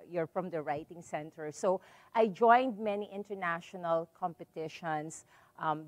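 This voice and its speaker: A middle-aged woman from the Philippines with a Filipino accent, giving a public lecture in a library hall and telling her story in a measured way with many "so"s and "um"s. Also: lowish voice. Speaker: visiting lecturer. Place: library hall with a seated audience.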